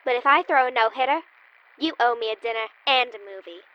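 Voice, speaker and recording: A woman's voice: a little high, fairly quick, lo-fi sound, lightly acted. A woman playing a feisty, bossy, wisecracking girl in an old-time style radio comedy sketch. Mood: sly, confident. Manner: brash, wisecracking, teasing.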